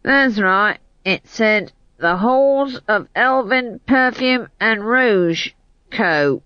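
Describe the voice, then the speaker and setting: A woman's voice: fairly high pitch, expressive, pushed and bright, no room sound, voice pushed up, heavily acted. A woman voicing a drunk, cheeky young man who slurs, rambles and gropes for words. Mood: mischievous. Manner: slurred, halting, searching for words. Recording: slightly dull, dry recording.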